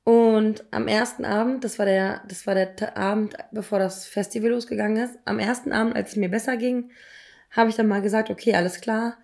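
A woman in her thirties, hoarse from illness, tells personal stories in a casual, emphatic, slangy way. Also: medium pitch, fairly even intonation, fairly quick, a little mellow. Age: 30s. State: hoarse, ill. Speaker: podcast co-host.

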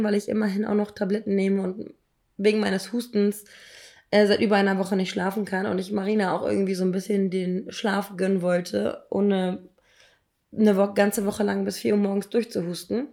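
A woman in her thirties, hoarse from illness, tells personal stories in a casual, emphatic, slangy way. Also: medium pitch, level tone, a little fast, mellow. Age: 30s. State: hoarse, ill. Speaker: podcast co-host.

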